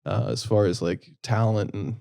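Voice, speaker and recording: A man's voice: lowish voice, fairly even intonation, moderate pace, creaky, mellow. A man, a band member, telling stories and laughing in a casual podcast chat.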